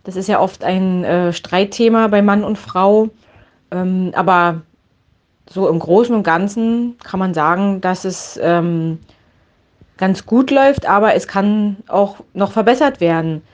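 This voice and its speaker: Woman, interviewee, hesitant, many fillers, mid pitch, muffled lo-fi sound. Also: measured pace. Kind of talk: casual interview answer.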